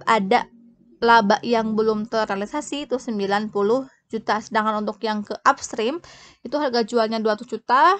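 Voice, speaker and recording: A woman's voice: fairly high pitch, fairly bright tone. A young woman giving an explanatory tutorial, often breaking off and restarting as she goes. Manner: halting, frequently breaking off and restarting. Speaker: accounting tutor presenting.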